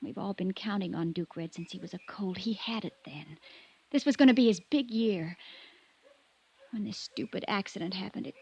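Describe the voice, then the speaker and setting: An actress playing a moody, headstrong young daughter of a horse breeder in a 1950s radio drama, pert and capricious in manner. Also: female voice, medium pitch, normal speed, darkish tone, breathy edge. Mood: wistful. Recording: muffled vintage radio recording.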